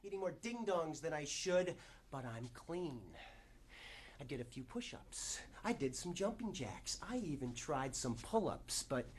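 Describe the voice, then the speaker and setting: A man performing a stage monologue as a desperate, newly clean struggling actor, halting and self-interrupting as he pleads and bargains. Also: a little high, lively intonation, slight background noise. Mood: edgy, defensive. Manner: halting, self-interrupting, swinging between hushed confession and outburst.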